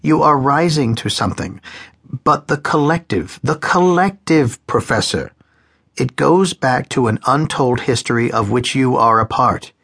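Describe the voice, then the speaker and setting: A man's voice: medium pitch, normal speed, vocal fry, fairly bright tone, breathy edge. A man voicing an elderly male psychiatrist, who speaks in a cold, emphatic lecturing way, raising his voice above his own. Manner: pronouncing, emphatic.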